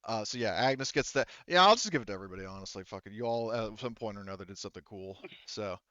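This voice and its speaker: A man acts as game master in a loose, conversational style. His voice is smooth and clear, close and dry. He rambles, halts and swears freely as he rules on play. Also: on the low side, pushed and bright, no echo, muffled recording. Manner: rambling, halting, profane. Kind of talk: casual tabletop game chat.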